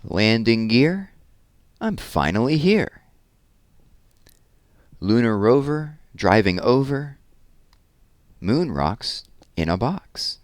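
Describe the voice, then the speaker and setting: A man reading a children's story aloud as host, with an expressive, deliberate storyteller delivery. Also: medium pitch, no echo. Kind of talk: expressive children's picture book read-aloud with informal asides.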